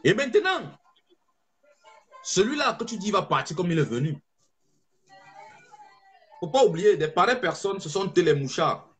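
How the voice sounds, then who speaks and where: A man giving an impassioned political speech as an activist, fervent and preaching, stressing slow phrases. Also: high-pitched, sing-song, a bit bright, breathy edge, faint music behind.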